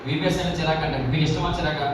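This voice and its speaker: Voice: medium pitch, steady tone, very fast. A host of a live children's puppet show, bantering playfully and asking teasing questions. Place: large indoor room or hall. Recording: echoey hall, distant mic, loud crowd noise. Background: noisy crowd of children.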